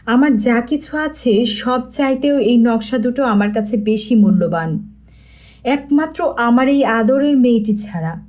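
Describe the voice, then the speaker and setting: Audiobook role: earnest bereaved father, formal and tender, soft dark timbre, voiced by a woman in her high register. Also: animated, a little slow. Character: grieving elderly father, earnest, tender toward his daughters.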